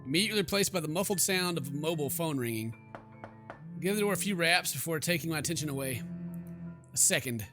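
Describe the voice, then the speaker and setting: A man hosting a gaming video, reading game text aloud and commenting in a sarcastic, animated way on a close mic. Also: fairly high pitch, fast talker, over music. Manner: sarcastic, animated when incredulous.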